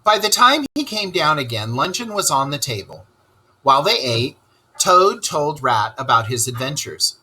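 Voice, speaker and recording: A man narrating a children's story aloud in an expressive storytelling style. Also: on the high side, normal speed, breathy edge, poor audio.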